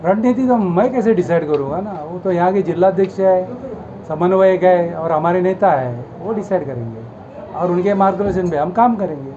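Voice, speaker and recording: High-voiced Indian man, muffled noisy recording. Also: lively intonation, moderate pace. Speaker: local party worker. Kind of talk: spontaneous interview answer. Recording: dull, noisy location recording.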